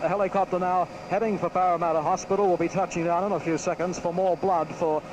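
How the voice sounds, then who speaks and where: An Australian man gives a live radio news report in a high-pitched voice. The field link is noisy, with a clear engine rumble. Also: flat delivery, fast.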